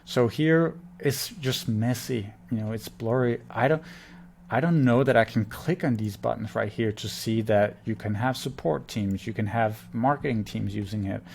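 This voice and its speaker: A man's voice: mid-range voice, moderate pace, creaky, darkish tone, a little hiss or hum. A man giving an unscripted, blunt and opinionated screen-share critique as a marketing consultant. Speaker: conversion-rate marketing consultant.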